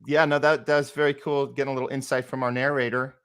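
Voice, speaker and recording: A man's voice: medium pitch, level tone, quick pace, fairly bright tone, clean tone. A man hosting a casual interview as a director and actor, talking emphatically and building on what others say.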